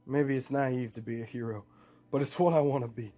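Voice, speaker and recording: A man's voice: mid-range voice, fairly even intonation, fairly quick, dark tone, natural voice. A man voicing an earnest cowboy hero in a tabletop story, folksy and emotional over music. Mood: defiant. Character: earnest, defiant male cowboy hero, tender and wounded.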